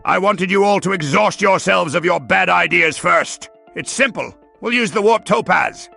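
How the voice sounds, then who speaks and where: A man's voice: high voice, normal speed, gravelly, sharp tone, faint music behind, full character voice. A man voicing a pompous, sarcastic mad scientist villain in a theatrical, gloating cartoon style. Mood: scheming, sarcastic.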